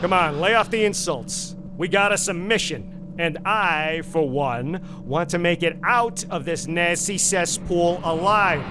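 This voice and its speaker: A man plays a bold, folksy comic-book adventure leader with a high voice. He speaks slowly, with lively swings in pitch. A clear rumbling noise runs behind him. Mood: exasperated. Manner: plainspoken, folksy. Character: brave young adventurer hero, bold team leader. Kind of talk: motion comic adventure voice acting.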